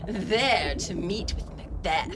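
A woman's voice: mid-range voice, expressive. A woman playing a purposeful, sinister witch in an amateur radio drama, drawing out her archaic lines theatrically. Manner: theatrical, drawn-out, cackling. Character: purposeful, sinister witch cackling over cauldron. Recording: loud storm-like background noise.

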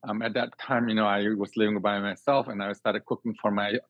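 Lower-voiced man from Singapore, halting and earnest. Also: level tone, dry recording. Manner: hesitant, repeats and reworks phrases while thinking. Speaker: guest, cook and cooking teacher. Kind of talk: conversational interview answers. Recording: dry, slightly dull band-limited sound.

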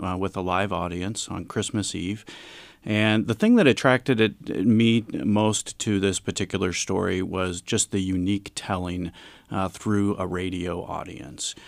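A man speaking as an interview guest, explaining in a measured, earnest way like a director presenting a production. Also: lowish voice, gravelly, no room sound.

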